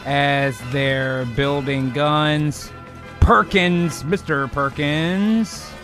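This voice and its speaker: A man co-hosting an improvised comedy show, mixing casual, halting banter with story narration. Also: a little high, fairly even intonation, over music. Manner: profane, informal, halting asides.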